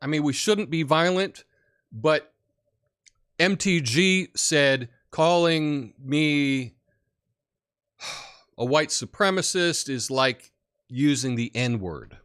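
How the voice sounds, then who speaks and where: A man hosting a political commentary show, who talks in an opinionated, rambling way with many fillers and has a big booming voice. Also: animated, slow pace, long pauses, fairly bright tone, clear, resonant tone. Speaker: podcast host.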